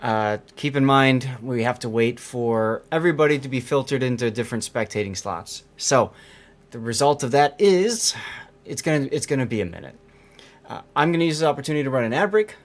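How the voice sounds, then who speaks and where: A man's voice: mid-range voice, moderate pace. A man hosting a game tournament on his stream, chatting casually with a co-host and the audience, rambling with fillers.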